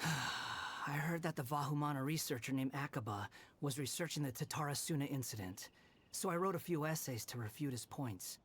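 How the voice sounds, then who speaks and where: A man's voice: a little high, moderate pace, fairly bright tone, slight background noise, lightly acted. A man playing an arrogant, irritable young wanderer in an anime game, speaking in a dismissive, sardonic way. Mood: scornful, irritated.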